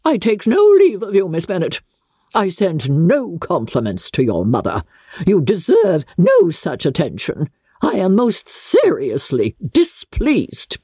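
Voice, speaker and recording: A woman's voice: fairly low pitch, huge pitch swings, a little slow, warm tone, breathy edge, no room sound, clean studio sound, heavily acted. A woman voicing a haughty, incensed older noblewoman in a dramatic novel reading, imperious and declamatory. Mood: outraged, threatening.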